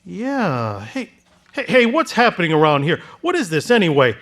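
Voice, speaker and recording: Wisecracking despairing husband,, fairly high pitch, radio play. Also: male voice, huge pitch swings, fairly bright tone, full character voice. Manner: fast-talking, wry. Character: desperate, wisecracking everyman husband. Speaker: radio actor. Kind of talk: 1940s radio acting.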